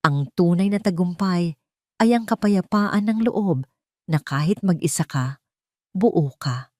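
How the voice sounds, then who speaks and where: A woman reading a romantic drama as a storyteller, in a measured and heartfelt way, close to the mic. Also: low-pitched, darkish tone, no room sound. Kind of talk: scripted drama narration.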